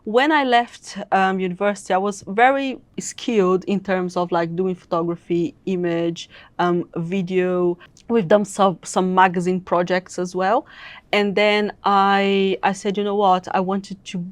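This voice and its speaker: A woman's voice: medium pitch, little pitch movement, a little slow, no echo. A woman in her thirties who grew up in Brazil, the founder of an agency, chatting in an interview, laughing often and restarting her sentences. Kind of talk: casual interview chat. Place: content creation studio.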